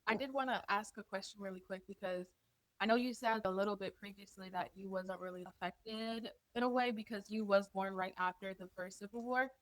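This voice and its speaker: A woman's voice: mid-range voice, fairly even intonation, a little fast, fairly bright tone, no echo, low-quality recording. Young woman co-host, dry close sound. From Sudan. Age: young adult. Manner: curious, friendly interviewer. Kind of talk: casual chat.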